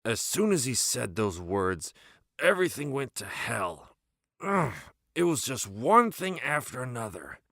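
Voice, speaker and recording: A man's voice: mid-range voice, big pitch swings, measured pace, creaky, bright, forceful tone, no room sound, clean studio sound, heavily acted. A man playing a sarcastic, reluctant teenage adventurer in an amateur script read, exasperated and argumentative. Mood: bitter, strained. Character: grumbling, sarcastic, reluctant male teen adventurer.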